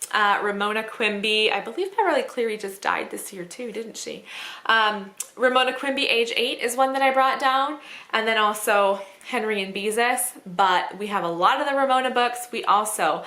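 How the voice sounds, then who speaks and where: A woman with a bright, forward voice hosts a casual home vlog. She chats informally and thinks out loud as she makes her recommendations. The room around her adds a noticeable echo. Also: medium pitch. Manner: informal, conversational, thinking aloud. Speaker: homeschooling mother and video host. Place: indoors at home. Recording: roomy, echoing home recording.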